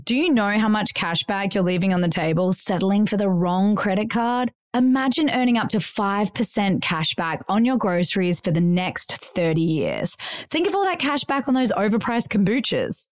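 A woman's voice: mid-range voice, lively intonation, moderate pace, no echo, clean studio sound. A woman co-host reading an ad in a chatty, upbeat way. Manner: bright, chatty and upbeat delivery. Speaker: podcast co-host. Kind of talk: host-read ad.

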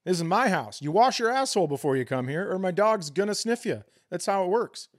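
A man's voice: sits high, animated, fairly quick. Blunt man telling casual comic stories. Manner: foul-mouthed, blunt, acts out quoted exchanges. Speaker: comedy podcast co-host. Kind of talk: casual comedic storytelling.

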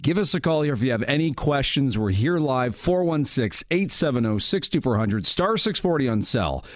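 A man's voice: mid-range voice, big pitch swings, moderate pace, no echo, studio quality. A man hosting a live talk radio show, reading out the call-in number and moving between segments with upbeat announcer delivery. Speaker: radio host. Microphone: studio microphone.

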